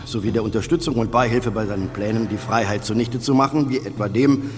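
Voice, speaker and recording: A man plays a stern tribunal judge in a radio play. He speaks fast and formally in a lowish, officious voice that echoes in a big hall. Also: steady tone, darkish tone, natural voice. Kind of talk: German radio drama acting. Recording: reverberant courtroom, music behind.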